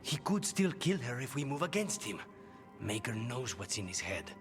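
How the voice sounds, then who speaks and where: A man acting as a brooding assassin in a video game, troubled and intense. His mid-pitched voice moves expressively, ringing in a large reverberant space with music behind it. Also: sing-song, moderate pace, lightly acted. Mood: troubled, tense. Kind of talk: video game character dialogue.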